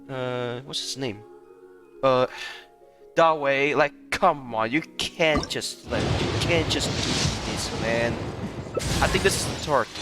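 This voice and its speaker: A man giving casual live gaming commentary in a halting, repetitive way, often breaking into chuckles. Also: mid-range voice. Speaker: game streamer. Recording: loud music under the voice.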